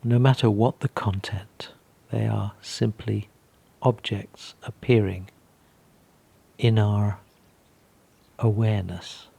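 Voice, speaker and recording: Male meditation guide, low-pitched and creaky, speaking very slowly with calm pauses. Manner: gentle, calm, unhurried with long pauses.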